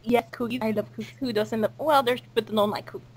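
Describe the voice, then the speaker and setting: A woman's voice: fairly high pitch, fairly even intonation, quick pace. A woman hosting a casual cast interview, chatting and reading out questions. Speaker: interview host and cast member.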